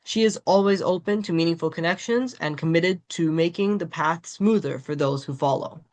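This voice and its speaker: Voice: medium pitch, moderate pace, a little mellow. A webinar host reading a formal prepared introduction over a video call. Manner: reads prepared remarks, formal. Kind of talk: scripted introduction.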